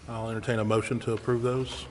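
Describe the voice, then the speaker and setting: Guy chairing a committee meeting, pretty monotone, big echoey chamber w/ slight noise. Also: medium pitch, fast. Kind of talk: formal meeting procedure. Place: large indoor council chamber. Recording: reverberant council chamber, faint background noise.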